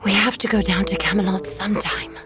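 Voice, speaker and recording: A woman playing an earnest, consoling young heroine in an audio drama table read, gentle and encouraging. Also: mid-range voice, brisk, music in the background, lightly acted.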